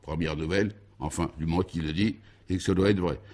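A man voicing a laconic, deadpan, musing male detective in a comic radio serial. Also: low voice, brisk, a little hiss or hum, voice pushed down, lightly acted. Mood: pensive, deadpan.

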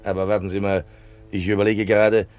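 Male actor as obliging Spanish policeman, lowish voice, pedantic, hesitant, courteous, radio drama. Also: little pitch movement, a little fast, a little mellow, soft background music, lightly acted. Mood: pondering, fussy. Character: polite, fussy, curious male Spanish harbour policeman. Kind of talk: German radio play acting.

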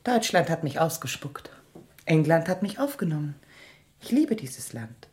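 A woman's voice: deep voice, very animated, a little slow, darkish tone. An actress playing a middle-aged mother and former refugee, controlled, evasive and firm, in a radio drama. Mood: firm, proud. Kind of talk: dramatic radio play dialogue.

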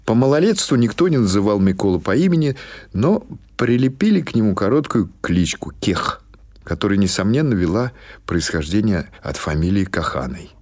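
A man reading literary fiction as an audiobook narrator, in a measured, expressive storytelling manner. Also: on the low side.

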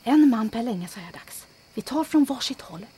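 An actress playing a bold, adventurous resistance captain who gives brisk commands with dry asides. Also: female voice, a little high, lively intonation, dark tone, lightly acted. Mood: commanding. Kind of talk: audio drama acting.

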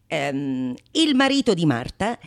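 A woman's voice: low-pitched, big pitch swings, slow, creaky, pitched below the performer's own voice, full character voice. A woman playing a bawdy, boastful man who theatrically recounts his seductions in a radio theatre monologue. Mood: bawdy boasting. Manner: theatrical, boastful, mock-tender when quoting himself.

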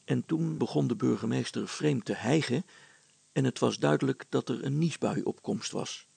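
Very gravelly male storyteller. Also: mid-range voice, moderate pace, dark tone, no room sound. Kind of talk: scripted narration of a children's story.

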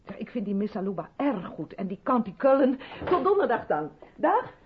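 Radio drama, female role: strict, insistent rehearsal leader, persuasive and pointed, very expressive intonation, muffled vintage sound. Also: fairly high pitch, normal speed, slightly rough, full character voice. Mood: eager, pleading. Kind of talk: 1970s Dutch radio drama acting.

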